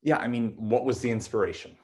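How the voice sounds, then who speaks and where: A young man acting on stage as an offhand, quietly morbid dating partner, speaking in a casual, halting way. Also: medium pitch, natural voice. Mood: playful, dry. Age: young adult. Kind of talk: student stage acting, naturalistic dialogue.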